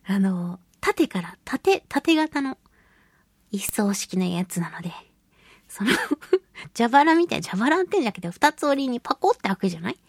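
A woman hosting a radio talk show on her own, chatting casually and telling stories in an animated, giggly, colloquial way. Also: on the high side, moderate pace, breathy edge, dry recording, clean studio sound. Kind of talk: casual solo radio chat.

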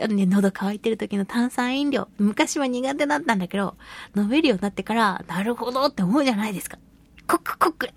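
Breathy high-voiced lady radio host, chatty n giggly, dry close studio sound. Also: no room sound. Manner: chatty, giggly, expressive with acted asides. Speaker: radio show host and voice actress. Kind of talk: casual solo radio talk, reading listener mail.

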